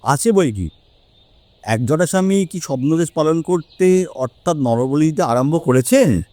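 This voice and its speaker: Shrewd male investigator for audio drama: self-assured, mellow, brisk questioning. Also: fairly high pitch, animated, no echo. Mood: probing. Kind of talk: audio story acting.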